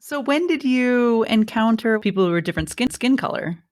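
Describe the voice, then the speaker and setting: A woman's voice: fairly high pitch, expressive, normal speed, a little mellow. A woman hosting a podcast, asking her guest brief, direct questions. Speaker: podcast host. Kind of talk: interview questions.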